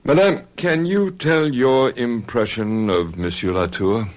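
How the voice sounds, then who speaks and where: An actor playing a calm, inquisitive doctor in a radio drama, speaking in a measured, reassuring way. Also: male voice, mid-range voice, lightly acted. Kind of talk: 1970s radio drama acting.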